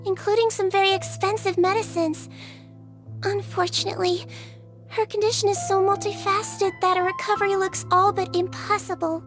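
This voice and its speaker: A woman voicing a small, childlike Melusine head nurse in a video game, gentle, earnest, polite and measured. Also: very high-pitched, flat delivery, over music. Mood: wistful. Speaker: nurse and doctor character.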